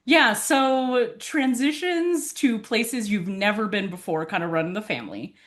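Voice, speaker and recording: A woman's voice: medium pitch, animated, measured pace, a bit bright. A young woman from California, first language Tagalog, telling family stories in a casual interview and laughing often. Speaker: podcast guest, college advisor.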